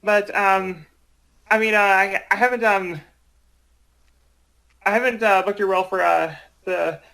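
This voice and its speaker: A man in casual chat who speaks haltingly, hesitating and repeating himself as he goes. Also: sits high, fairly even intonation, creaky, bright, forceful tone. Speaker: amateur voice project creator.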